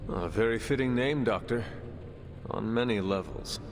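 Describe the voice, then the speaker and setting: A man voicing a calm, earnest warrior hero in a video game, with formal, measured phrasing. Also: on the low side, noisy background. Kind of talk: video game cutscene acting.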